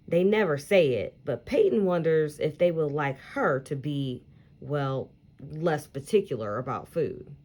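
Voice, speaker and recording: Woman reading slowly with very lively pitch. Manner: animated, emphatic, deliberate phrasing for young listeners. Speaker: teacher reading picture books aloud for children. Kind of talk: expressive children's read-aloud storytelling.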